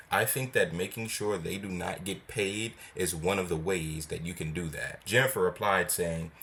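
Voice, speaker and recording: A man with a deep, low voice hosts an opinion commentary. He speaks in a measured, formal manner as he reads and responds to comments. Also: a bit bright, a little hiss or hum. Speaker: video host and commentator.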